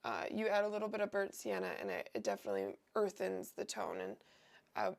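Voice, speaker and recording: This is a young woman who is sick and sounds nasal, with a heavy gravelly fry running through her voice. She talks through her painting in a loose, meandering way, full of ums and restarts. Her pitch sits right in the middle. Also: very animated, a little fast. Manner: meandering, thinks aloud with many fillers. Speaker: livestream host painting. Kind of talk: casual painting commentary.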